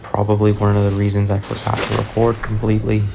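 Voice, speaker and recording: Low, gravelly man with flat delivery. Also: fast, background noise. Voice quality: raspy, gravelly. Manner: low-key, hesitant, noncommittal. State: tired voice after long talking, throat-clearing. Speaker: gaming video host. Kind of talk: casual voice-over commentary on gameplay. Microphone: voice-over recorded separately from the footage.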